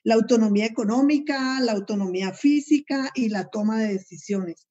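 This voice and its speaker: A Colombian woman with a fairly high, mellow voice speaks as an expert on a webinar panel. She thinks aloud, pausing on fillers and repeating words. Her audio is dull and lo-fi over a video call.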